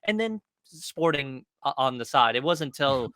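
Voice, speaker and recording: Bright-voiced man, animated and slangy. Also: mid-range voice, normal speed, dry recording. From Argentina. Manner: animated, slangy, self-deprecating. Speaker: guest, soccer content creator and fan streamer. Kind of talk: casual chat.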